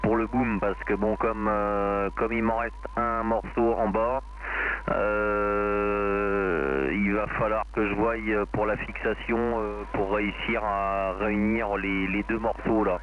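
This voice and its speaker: A man's voice: on the low side, fairly even intonation, slow pace. A man from France chatting casually and hesitantly as an amateur radio operator, rambling through his thoughts. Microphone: amateur radio link. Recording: dull, noisy amateur radio transmission.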